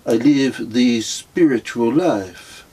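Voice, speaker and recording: A man voicing a serene, self-satisfied foreign spiritual wanderer in a storybook, speaking earnest pronouncements in halting, non-native English. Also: mid-range voice, mellow, breathy edge, pitched above the performer's own voice. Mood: serenely self-satisfied.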